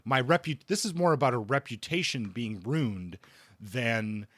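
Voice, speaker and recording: A man co-hosting a podcast, casually discussing books in a halting way, often rephrasing as he thinks aloud. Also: medium pitch, a bit bright, no room sound.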